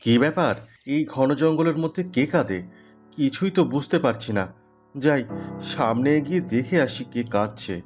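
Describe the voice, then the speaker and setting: A man voicing a devoted, good-hearted village woodcutter in an expressive fairy tale cartoon style. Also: mid-range voice, moderate pace, faint music behind, lightly acted. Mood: puzzled, helpful. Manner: earnest, polite, expressive.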